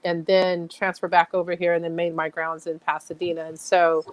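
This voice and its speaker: A woman's voice: on the low side, little pitch movement, normal speed, no echo, rough recording. A woman from Thailand, a chef, talking as a podcast guest in a friendly way and laughing often. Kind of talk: casual interview chat.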